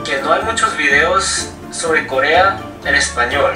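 A man's voice: a little high, expressive, a little slow, distant mic, over music. A young man introducing himself carefully and hesitantly in an old vlog clip. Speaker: vlogger in his first video. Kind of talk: self-introduction to camera. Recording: clip played back from afar, echoey.

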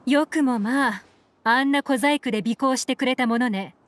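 A woman's voice: high-pitched, normal speed, noisy background, lightly acted. A woman playing a cool, confident, shrewd female operative in an anime game, poised and teasing, over background music. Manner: poised, teasing, feminine phrasing.

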